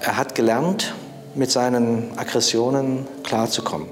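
A man's voice: mid-range voice, fairly even intonation, unhurried, echoey room, music in the background. A man giving a spontaneous spoken account in an interview.

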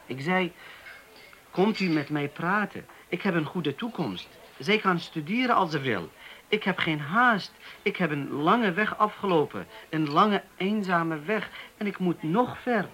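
An actor playing an earnest young Turkish waiter who speaks haltingly, with many hesitations, in a radio play. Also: male voice, high-pitched, lively intonation, bright, forceful tone, exaggerated voice. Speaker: Turkish waiter in a police interview.

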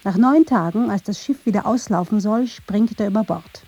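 A woman's voice: medium pitch, moderate pace, warm tone, breathy edge, highs cut off. A woman reading scripted narration for a radio documentary.

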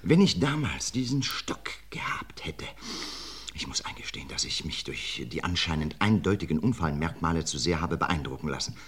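A methodical police doctor, carefully reviewing his own earlier mistake. His voice sits very low and has a husky edge. He speaks in a measured, precise manner, as in a vintage radio crime play. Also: very animated, a bit bright, full character voice. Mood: earnest. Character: older male police doctor, methodical and self-critical. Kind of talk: vintage radio drama acting.

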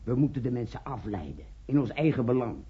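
Bossy, husky newspaper editor. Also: male voice, mid-range voice, very animated, fast talker. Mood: insistent, instructing. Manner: commanding, curt. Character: bossy, cynical male newspaper editor barking orders. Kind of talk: Dutch radio play acting. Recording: dull old radio play recording.

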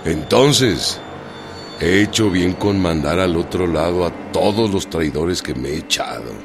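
A man's voice: low voice, expressive, a little slow. An actor playing a brash, commanding male revolutionary general with a Mexican accent in a radio drama. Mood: smug. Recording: loud music behind, outdoor sound effects.

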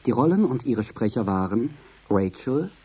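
Male announcer, formal scripted delivery, mellow, husky, lowish pitch. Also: big pitch swings, moderate pace. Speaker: radio announcer reading credits.

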